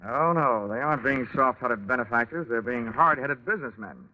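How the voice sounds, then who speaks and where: An actor playing a wry, hard-boiled American insurance investigator, dry and wisecracking, in old-time radio style. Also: male voice, mid-range voice, lively intonation, moderate pace, muffled recording, natural voice. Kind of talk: old-time radio acting, first-person narration.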